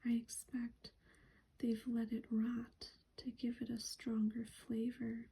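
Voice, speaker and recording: A woman voicing a clever, know-it-all schoolgirl in a slow, breathy whisper. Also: fairly high pitch, completely flat delivery, exaggerated voice. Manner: whispered, falsely bright.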